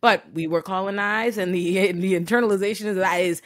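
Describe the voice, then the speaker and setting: A woman's voice: mid-range voice, fairly even intonation, brisk. A young woman host tells a story candidly and emphatically into a podcast mic from a room at home. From Bahamas. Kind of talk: casual interview talk. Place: home room with plants and bookshelf. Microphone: large podcast microphone.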